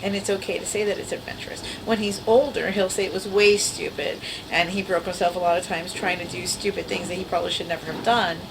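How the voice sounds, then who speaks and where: Lady in her 40s with a teeny girlish voice, kinda low-pitched, rambling and wry, lots of noise behind her. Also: fairly even intonation, fast talker, vocal fry, few pauses. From the Bronx, New York. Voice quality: small, girlish voice. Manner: rambling, self-deprecating, wry. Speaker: vlogger. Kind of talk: casual chat to camera. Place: at home. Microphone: camera mic.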